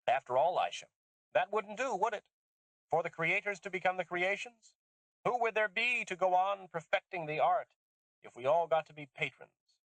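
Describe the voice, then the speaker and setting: A man voicing an older woman geneticist, bitter and intense, speaking emphatically in a raised pitch. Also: animated, normal speed, a bit bright, no echo, low-quality recording. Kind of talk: audiobook character voice.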